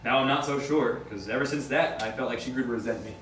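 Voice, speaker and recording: Man playing a brisk, rattled rich businessman, echoey. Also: medium pitch, a bit bright, close to an everyday voice. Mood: reflective. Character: wry, rattled rich young businessman suspected in wife's disappearance. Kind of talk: amateur script table read. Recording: echoey room, distant mic, faint noise.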